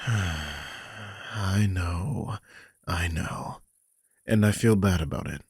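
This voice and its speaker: A man's voice: sits low, very slow, a little mellow, no echo, clean studio sound, heavily acted. A man playing a worried, guilty vampire king who is protective of his charge, confiding wearily in an audio roleplay. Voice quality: husky, breathy. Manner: confiding, weary, sighing, swears. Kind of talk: dramatic audio roleplay, one side of a conversation.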